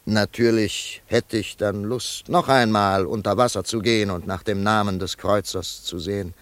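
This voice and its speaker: An actor playing a wealthy, grieving older father in a German radio play, brooding and yearning in a halting monologue. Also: lowish voice, fairly even intonation, a bit bright, no room sound, lightly acted. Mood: wistful, brooding. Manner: slow, halting, brooding delivery with sudden swells.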